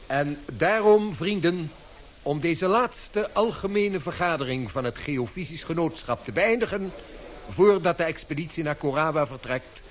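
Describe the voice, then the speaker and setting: Acteur als formele voorzitter met levendige, hoge stem. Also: male voice, on the high side, lively intonation, moderate pace, a little hiss or hum, lightly acted. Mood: formal, dry. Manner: formal, oratorical. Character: formal British society chairman, courteous but firm. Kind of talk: radio play acting.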